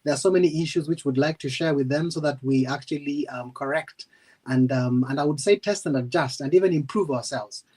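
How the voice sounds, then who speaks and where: A man answering as an interview guest, polite and measured, hesitating between phrases. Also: on the high side, darkish tone. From Western Province, Kenya. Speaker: guest, engineer and ex-serviceman.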